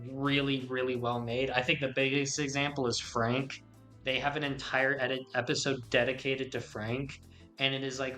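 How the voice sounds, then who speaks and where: A man's voice: mid-range voice, sharp tone. A young man co-hosting a casual podcast chat, rambling with many restarts and fillers. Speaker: podcast co-host.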